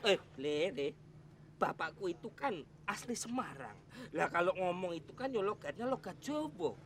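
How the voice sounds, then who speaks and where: A man's voice: sits high, big pitch swings, normal speed, noisy background. A man with a Central Javanese accent, eager and flustered, acting in a TV sitcom with music behind.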